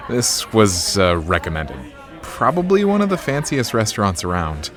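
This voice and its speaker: A man's voice: lowish voice, huge pitch swings, slow, fairly bright tone, soft background music, exaggerated voice. A man playing a charming, slightly nervous date in an audio drama, playful and self-deprecating. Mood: sheepish, flirty.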